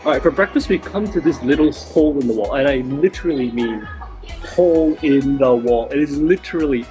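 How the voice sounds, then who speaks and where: A man hosting a travel food vlog, a little hoarse and raspy from feeling unwell, talking enthusiastically and repeating words for emphasis. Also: medium pitch, fairly quick, music in the background, low-quality recording. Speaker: food vlogger host. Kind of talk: casual travel vlog narration. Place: outdoors in a small-town plaza, then a tiny eatery.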